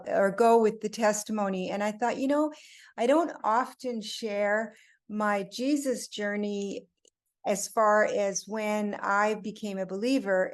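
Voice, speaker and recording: Older woman sharing a reflective personal testimony. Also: mid-range voice. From Belgium and Italy. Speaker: group member giving a personal testimony. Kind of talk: personal faith testimony, unscripted storytelling.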